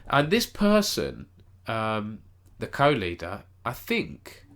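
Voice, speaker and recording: A man's voice: on the low side, wildly swooping pitch, takes it slowly, fairly bright tone. A man with a cold, sounding nasal as he hosts a rambling, self-correcting live-stream esports news roundup and chats with viewers.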